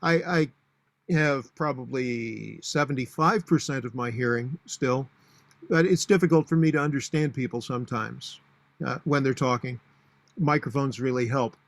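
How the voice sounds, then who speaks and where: A man in his sixties with a booming voice, giving practical, matter-of-fact advice on public speaking with frequent fillers. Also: normal speed, vocal fry, no echo. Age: 60s. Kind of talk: instructional talk.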